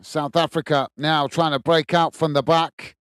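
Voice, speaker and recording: A man's voice: on the high side, flat delivery, moderate pace, fairly bright tone, clean tone, no echo, studio quality. An English man hosting a live football watchalong, calling the play steadily and reading out chat comments. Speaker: watchalong host.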